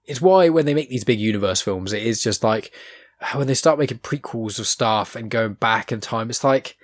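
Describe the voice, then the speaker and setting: Opinionated, swearing podcast host. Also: male voice, mid-range voice, normal speed. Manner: opinionated, rambling, swears freely. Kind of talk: casual chat.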